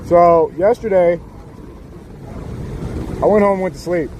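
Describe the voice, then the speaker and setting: A man with a hoarse, worn-out voice chatting casually to his live-stream viewers outdoors on a city street. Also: high voice, unhurried. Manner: chatty, laid-back, talks straight to viewers. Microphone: small clip-on mic. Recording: loud street noise outdoors.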